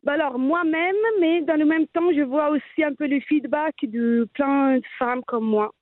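A woman's voice: high-pitched, unhurried, no echo. A woman in her thirties with an Italian accent, a guest who speaks hesitantly with many fillers and restarts. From Italy. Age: 30s. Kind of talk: casual interview talk. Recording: tinny phone-like line.

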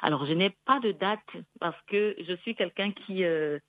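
A woman whose first language is Bassa, a singer and music school founder, speaking reflectively in a radio interview with frequent hesitations and restarts. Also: unhurried, no echo, muffled recording.

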